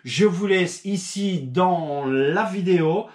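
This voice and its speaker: A man's voice: sits high, takes it slowly, a little mellow. Male channel host, a bit stuffed up from a cold, chatty with lots of hesitations, recorded in a reverberant room. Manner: rambling, many fillers and restarts. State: recovering from a cold. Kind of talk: casual video talk to subscribers. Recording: echoey room.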